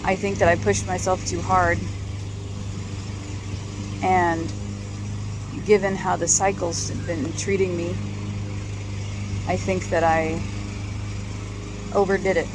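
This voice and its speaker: A hoarse woman talking casually in a personal vlog, halting often with ums and restarts. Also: on the low side, flat delivery, background noise.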